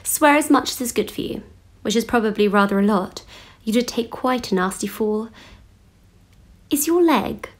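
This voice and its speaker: A woman playing a brisk, self-assured upper-class British widow in a radio play, kindly but bossy. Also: fairly high pitch, expressive. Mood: kindly amused. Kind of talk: 1930s-set radio play acting.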